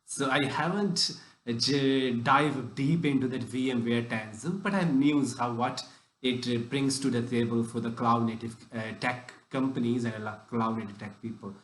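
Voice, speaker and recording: A man hosts a tech podcast and asks long, rambling questions, restarting his sentences often. His voice sits at a middle pitch. The room around him is echoey. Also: moderate pace, a little hiss or hum. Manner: broken phrasing with frequent restarts. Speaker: podcast host. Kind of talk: casual interview questions.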